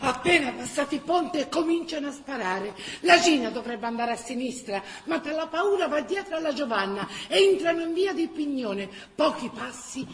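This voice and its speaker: An actress with a Tuscan accent playing an earthy, expressive storyteller in a theatrical wartime radio drama. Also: female voice, sits high, normal speed, hardly any pauses. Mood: tense. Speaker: actress-narrator. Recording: roomy stage sound, faint background noise.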